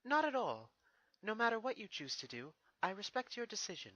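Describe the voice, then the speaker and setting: A performer voicing a calm, formal, mature female pony ruler and mentor in a fan fiction reading. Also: mid-range voice, brisk, no echo, voice pushed up. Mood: gentle.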